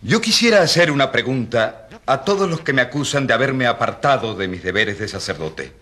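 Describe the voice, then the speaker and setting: A man's voice: mid-range voice, animated, a little fast, fairly bright tone, natural voice. A man playing a defiant, impassioned rural priest on trial in a radio drama, rhetorical and challenging. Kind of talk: Spanish-language radio drama acting.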